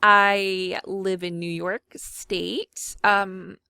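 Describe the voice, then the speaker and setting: A woman's voice: mid-range voice, level tone, very slow, vocal fry, bright, forceful tone, clean tone, no echo, clean studio sound. A woman from Mississippi chatting casually while she works on a digital scrapbook, rambling and self-deprecating with lots of fillers. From Mississippi, USA. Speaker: scrapbooking vlogger. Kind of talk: casual chat while working.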